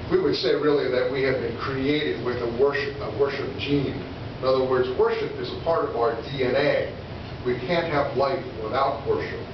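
A man in his sixties preaching a sermon in deliberate phrases with pauses and rhetorical questions. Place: church sanctuary or hall, indoors at a lectern. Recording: echoey room, distant mic, dull, noisy rumble.

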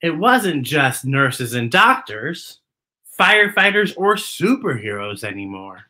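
A man's voice: mid-range voice, sing-song, slow pace, sharp tone. A middle-aged man hosting a children's storytime, reading aloud and chatting to young viewers in a playful, theatrical way. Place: living room, indoors.

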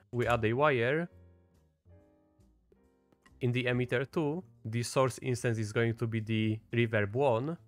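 A man hosts a casual screen-recorded software tutorial in a mid-pitched voice. He explains each step informally, with music playing behind him. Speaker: tutorial host. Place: voice-over at a computer.

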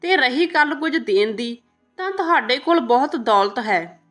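A woman's voice: high-pitched, expressive, a little slow, a bit bright, faint music behind. A woman voicing a humble, devoted maidservant and mother in a storybook tale, respectful and earnest. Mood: tearful pleading.

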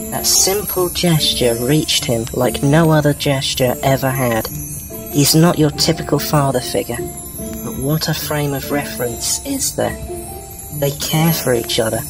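A man's voice: fairly high pitch, poor audio. A man playing an earnest, tender counselor who speaks in a heartfelt way in an audio drama. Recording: loud music behind the voice.

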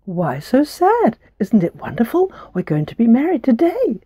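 A woman's voice: huge pitch swings, moderate pace, husky, exaggerated voice. A woman voicing a sweet, eager little female mouse bride in a told folk tale. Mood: excited. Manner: high, eager, bright-eyed.

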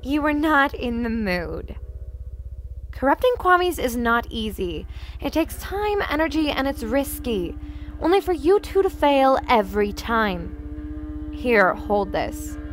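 A woman playing a smug, scheming teen villainess who boasts and threatens in a high, swooping voice. The delivery is comic-dub acting. Faint music plays beneath her. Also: very animated, slow, heavily acted.

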